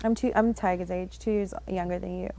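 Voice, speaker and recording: Relaxed woman host in her thirties, dry sound. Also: on the low side, brisk, creaky, dry recording. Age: 30s. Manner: relaxed, filler-heavy, says "like" often. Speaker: podcast host. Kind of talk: casual chat.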